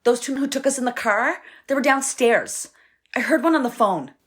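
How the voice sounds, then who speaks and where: A woman's voice: high voice, expressive, normal speed. An actress playing a proper, skeptical young woman caught up in an audio-play adventure. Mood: earnest. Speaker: actor.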